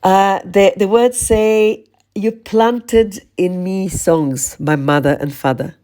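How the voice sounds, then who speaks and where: Slow, husky-toned woman podcast host. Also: medium pitch, steady tone, dark tone. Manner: slow, measured, lingering on lists. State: voice strained, losing her voice. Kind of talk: reflective spoken podcast monologue.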